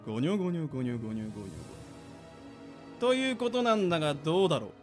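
A man's voice: a little high, very animated, fast, faint music behind. A man playing an ambitious, theatrical monster boss in a radio drama, rousing his followers like a speechmaker. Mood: determined. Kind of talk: anime-like radio drama acting.

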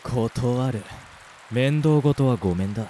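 Man acting a cold, slow-spoken cynical adventurer. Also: medium pitch, mellow, slight background noise, natural voice. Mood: curt refusal. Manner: curt, scornful, menacing. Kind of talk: radio drama acting.

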